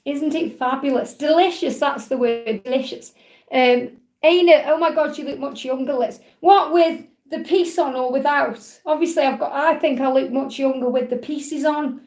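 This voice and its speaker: A woman's voice: sits high, normal speed, darkish tone. A woman in her forties chatting casually and upbeat as host of a live video, reading out viewer comments as she goes. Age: 40s. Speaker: livestream host. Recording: echoey room.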